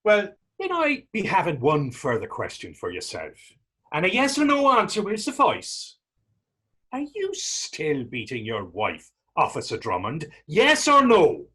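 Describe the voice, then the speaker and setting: A male stage actor as a defiant Irish needler with a rough, husky, fairly high voice. His pitch leaps and dips widely as he mocks and goads in quaint, wordy dialect. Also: wildly swooping pitch, measured pace, sharp tone, heavily acted. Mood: wry, teasing. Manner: mocking, needling, wordy old-fashioned dialect. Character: taunting, defiant Irish man needling a policeman. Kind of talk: readers theater stage acting.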